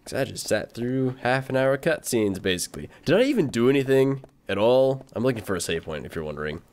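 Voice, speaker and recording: A man's voice: medium pitch, big pitch swings, brisk, darkish tone. A man hosting a gaming video, giving casual let's play commentary in an informal, playful, chatty way. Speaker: host of a gaming video channel.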